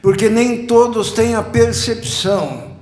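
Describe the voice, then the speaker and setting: Slow-preaching pastor in his sixties. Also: high-pitched, expressive, darkish tone. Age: 60s. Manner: slow, emphatic preaching with rising repeated phrases. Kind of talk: sermon. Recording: echoey church room.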